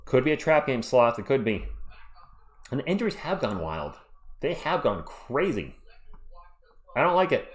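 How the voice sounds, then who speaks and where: A man hosting a sports live stream, talking in a loose, chatty way as he reads out viewer comments. His voice sounds worn out. His pitch sits in the ordinary middle range for a man. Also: sing-song, moderate pace, long pauses. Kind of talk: casual live stream chat reading comments.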